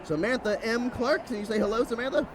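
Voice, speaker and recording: A man's voice: very high-pitched, a little fast, crowd noise. A man hosting a show from a van, upbeat and playful as he interviews his guest. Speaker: show host.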